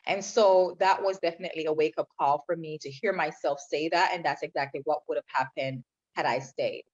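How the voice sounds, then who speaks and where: A woman's voice: low voice, fairly even intonation, brisk. A woman from Jamaica, a podcast guest telling her own story in an earnest, reflective way. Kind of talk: personal testimony in interview. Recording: dull lo-fi sound.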